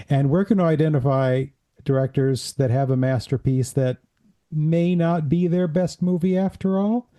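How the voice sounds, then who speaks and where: A man hosting a casual talk show with a raspy, dried-out throat, leading the conversation in a friendly way. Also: medium pitch, warm tone. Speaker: podcast host. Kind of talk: casual chat.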